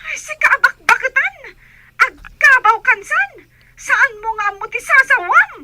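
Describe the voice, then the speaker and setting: A woman's voice: extremely high pitch, animated, unhurried, noisy background. A woman playing a snappish, bossy young daughter in a comedy radio drama. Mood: scornful, impatient.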